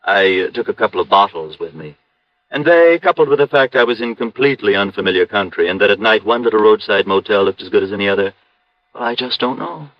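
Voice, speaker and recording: Low-voiced, languid, effete playboy. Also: male voice, animated, quick pace, dry recording. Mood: vague, evasive. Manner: languid, affected, genteel phrasing. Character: urbane, effete male playboy suspect, evasive and affronted. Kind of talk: 1950s radio drama acting. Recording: muffled dry vintage radio recording.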